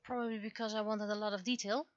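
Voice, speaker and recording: A woman livestreaming casually while she works, muttering to herself and questioning things aloud in an expressive way. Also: mid-range voice, fast talker, dull audio. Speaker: livestreamer, webcomic and visual novel creator.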